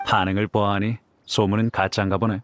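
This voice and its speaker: A man's voice: lowish voice, flat delivery, fast, close to an everyday voice. Breezy, confident young warrior, played by a man. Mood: probing. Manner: casual, easygoing. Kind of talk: anime game voice acting.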